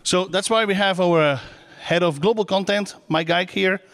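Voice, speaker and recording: A woman's voice: fairly low pitch, normal speed. A woman with a Belgian accent, an upbeat presenter welcoming a conference audience from the stage. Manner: upbeat, slightly non-native phrasing.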